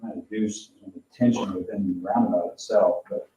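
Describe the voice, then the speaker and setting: A man's voice: on the low side, fairly even intonation, moderate pace, mellow, slight background noise. A man giving an informal technical explanation at a board meeting. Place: echoey meeting room, picked up from afar. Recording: roomy, distant, muffled meeting audio.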